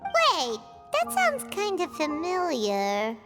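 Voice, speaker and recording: A woman voicing a tiny, childlike female companion in a video game, squeaky, perky and frank. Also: wildly swooping pitch, takes it slowly, long reverb tail, over loud music, heavily acted. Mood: thoughtful. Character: tiny, chirpy, childlike floating companion girl. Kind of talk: scripted game dialogue.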